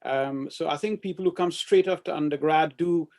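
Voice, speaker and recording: A man from Trinidad, a doctor on a panel, speaking in a measured way with frequent restarts. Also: on the high side, a little mellow. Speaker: surgeon panelist. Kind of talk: online panel discussion.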